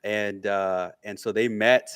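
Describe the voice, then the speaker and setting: A man's voice: fairly low pitch, steady tone, slow pace, fairly bright tone, clean tone. A middle-aged American man talking casually as a podcast guest, answering in an interview. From Memphis, Tennessee, USA.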